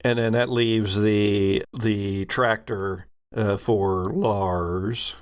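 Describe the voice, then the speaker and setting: A man running a tabletop game as game master, improvising narration with halting, deliberate pauses mid-phrase. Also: lowish voice, steady tone, clear, resonant tone.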